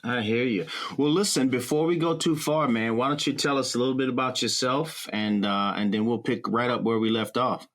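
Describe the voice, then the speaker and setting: A man's voice: mid-range voice, a little fast, hardly any pauses, a bit bright. A man hosting a podcast, interviewing a guest in an easygoing, encouraging way. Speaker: podcast host. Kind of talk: conversational interview.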